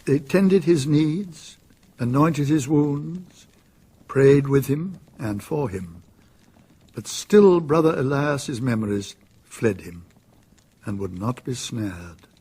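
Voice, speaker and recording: A man narrating a radio drama in a measured, deliberate storytelling manner. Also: medium pitch, animated, warm tone, breathy edge.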